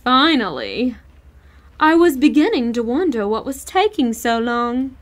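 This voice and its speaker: An actress playing a snobbish, complaining middle-aged wife in an audio story, disdainful and imperious. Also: female voice, a little high, very animated, a little slow. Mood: impatient, imperious.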